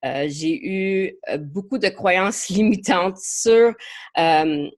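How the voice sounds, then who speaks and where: Woman, English Canadian accent, native English speaker, casual live coaching, lots of hesitations, somewhat low voice. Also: takes it slowly, darkish tone. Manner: chatty, mixes English words into her French; many hesitations and repeated words. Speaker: marketing coach. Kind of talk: live online training session.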